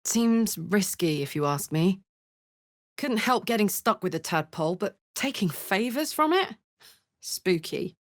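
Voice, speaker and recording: Woman doing a brash warm-hearted tiefling barbarian gal for a game, earthy and blunt, takes her time, super dry sound. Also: medium pitch, measured pace, no room sound, close to an everyday voice. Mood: wary, wry. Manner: blunt, earthy, informal, expressive. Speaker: video game voice actor. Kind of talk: video game character voice lines.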